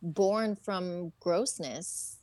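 A woman's voice: on the low side, extremely slow, warm tone, no echo. Frank, giggly woman from Ecuador. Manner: laughing, frank, many fillers. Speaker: podcast guest. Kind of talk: casual chat.

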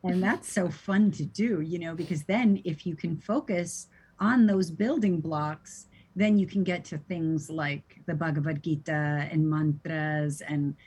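An American woman who teaches Sanskrit, talking in a relaxed, thoughtful way with many "you know"s. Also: deep voice, unhurried, slightly rough. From United States. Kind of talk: casual conversation.

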